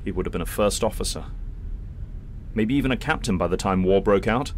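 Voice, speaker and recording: A man's voice: on the low side, a little fast, a little hiss or hum, natural voice. A man playing a defiant, brooding starship captain in a science-fiction fan drama, in dramatic dialogue. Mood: brooding, bitter.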